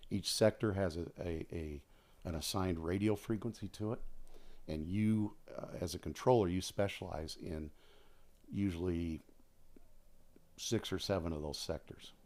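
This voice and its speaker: A man's voice: low-pitched, darkish tone. An American man in his sixties talks casually as a podcast guest, telling stories and repeating phrases for emphasis. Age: 60s. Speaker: podcast guest, retired air traffic controller. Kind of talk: casual conversational storytelling.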